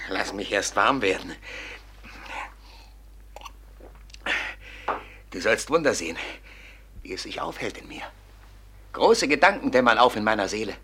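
An actor playing a boastful young schemer who dreams feverishly of grand plans, declaiming excitably in a classical radio play. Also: male voice, mid-range voice, a little hiss or hum, natural voice. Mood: swelling confidence.

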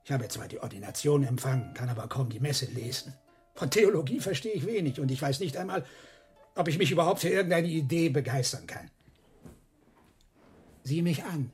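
A man plays a worldly monk cellarer weighed down by guilt in a radio play. His voice is on the high side, confessing with self-abasing emphasis. Faint plucked-string music sounds behind him. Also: brisk, darkish tone, natural voice. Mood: pleading, resigned. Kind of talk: radio drama acting.

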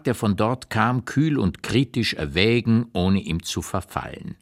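An actor playing an aged male writer who looks back on a dead friend in a deliberate, emphatic literary monologue for radio. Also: low voice, heavily acted. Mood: pensive, admiring. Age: older. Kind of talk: literary reading, dramatized memoir monologue.

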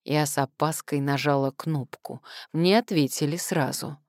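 A woman's voice: sits low, unhurried, darkish tone, no echo, studio quality. A woman reading first-person fiction expressively as an audiobook narrator.

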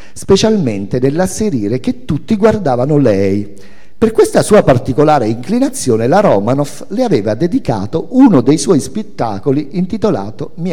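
Reverberant hall, man, literary memoir reading, soft mellow timbre, theatrical phrasing, deliberate pauses. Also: fairly high pitch. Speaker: reader at a literary reading event.

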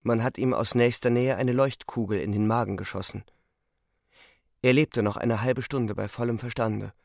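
Man acting creaky, sober young soldier narrator. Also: medium pitch, steady tone, normal speed, a little mellow, dry recording, clean studio sound, lightly acted. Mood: bleak, matter-of-fact. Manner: sober, restrained, matter-of-fact. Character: young, weary, reflective front-line soldier narrator. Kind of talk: scripted radio drama narration. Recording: music faintly behind the voice. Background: music.

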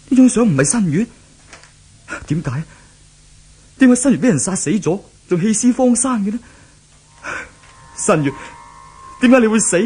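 Husky, bitter young groom. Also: male voice, medium pitch, a little mellow. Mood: anguished disbelief. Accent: Hong Kong Cantonese. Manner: brusque, melodramatic. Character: bitter, hard-drinking young groom forced into marriage. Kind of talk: 1970s Cantonese radio drama acting, melodramatic.

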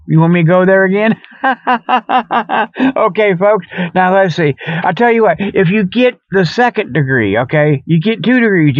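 A man hosting a comedy radio show, ad-libbing a mock sales pitch in folksy, repetitive huckster patter. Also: no echo, muffled recording. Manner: folksy huckster patter, repetitive, chuckles often at own jokes. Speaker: host of a comedic radio report.

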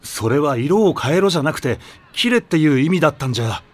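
A man's voice: on the high side, animated, quick pace. Dry, quipping teenage boy. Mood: bemused. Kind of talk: Japanese anime audio drama acting. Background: background music.

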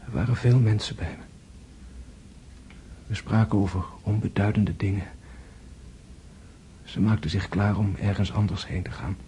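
An actor playing a gravely wounded prince in a radio drama, tender and philosophical, speaking in hushed, halting, weakened tones. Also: male voice, fairly low pitch, steady tone, a little hiss or hum, close to an everyday voice. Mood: haunted, fearful. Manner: hushed, halting, intense delivery, often whispering. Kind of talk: Dutch radio drama acting.

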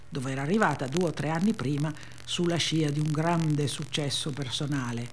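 Woman reading memoir, clearly noisy recording. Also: deep voice. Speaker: reader. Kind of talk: dramatic reading of first-person memoir on radio.

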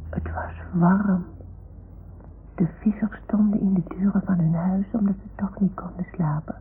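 A woman playing a small, timid toy dog who tells stories in a childlike, hushed way in a radio play. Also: mid-range voice. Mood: hushed, excited. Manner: childlike, breathy hushed storytelling. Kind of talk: 1960s-70s radio play acting. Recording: muffled, hissy old radio recording.

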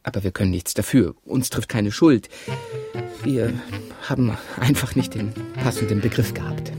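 A man's voice: lowish voice, moderate pace, music in the background, lightly acted. An actor playing a stubborn, self-justifying man torn between two women in an absurdist radio drama, arguing pedantically and repeating his phrases. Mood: evasive, argumentative.

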